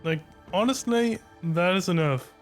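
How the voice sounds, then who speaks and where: Casting: laid-back male game streamer, slow casual delivery, music low in background. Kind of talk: casual game reaction commentary.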